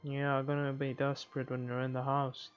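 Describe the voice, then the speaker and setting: A woman's voice: a bass voice, completely flat delivery, moderate pace. A woman gamer casually reading a playthrough aloud with sarcastic asides, recorded on a dull microphone. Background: game music.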